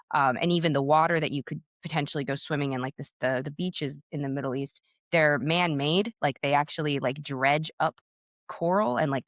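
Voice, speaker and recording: A woman's voice: deep voice, fairly bright tone, no echo, studio quality. Chatty Pennsylvania woman in her thirties. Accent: Pennsylvania American. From United States, Pennsylvania. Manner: chatty and talkative, full of "like" and "you know". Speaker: guest author and podcaster. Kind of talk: casual interview chat.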